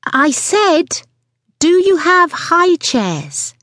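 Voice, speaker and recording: A woman's voice: high voice, expressive, measured pace, no echo, clean studio sound, voice pushed up. A woman voicing a bossy, impatient mother in an audiobook, with a pointed, demanding manner.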